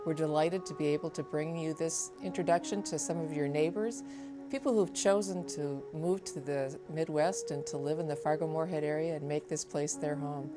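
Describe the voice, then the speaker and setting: A woman's voice: sits low, steady tone, moderate pace. A woman presenting a polished, scripted introduction in a welcoming way. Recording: music playing behind.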